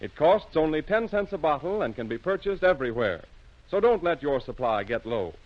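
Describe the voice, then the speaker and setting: Highish-voiced vintage radio announcer man. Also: very animated. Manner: formal, measured, emphatic. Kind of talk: 1930s-40s radio sponsor announcing. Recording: dull lo-fi old radio recording.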